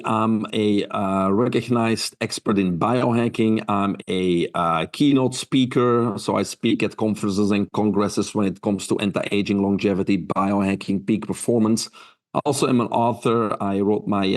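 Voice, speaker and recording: A man in his fifties from Belgium with a Belgian accent, giving earnest interview answers full of fillers and restarts. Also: lowish voice. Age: 50s. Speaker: guest.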